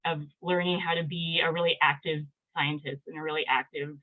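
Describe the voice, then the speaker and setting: A woman's voice: low voice, fairly even intonation, a little fast. A woman researcher talking enthusiastically about science on a video call panel, rambling with many "likes". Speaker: early career researcher guest. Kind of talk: casual panel chat. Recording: tinny phone-like call audio.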